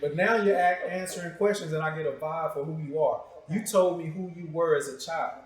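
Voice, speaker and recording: In a noticeably echoey room, a man with a fairly high voice hosts a casual interview. He talks informally, with slang and a teasing, joking streak. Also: brisk. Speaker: talk show host.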